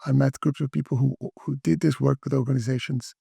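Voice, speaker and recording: A middle-aged man from Brazil, speaking as an interview guest, talking in a halting way with many restarts and fillers. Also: medium pitch, steady tone, gravelly, mellow, breathy edge, no echo. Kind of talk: interview conversation.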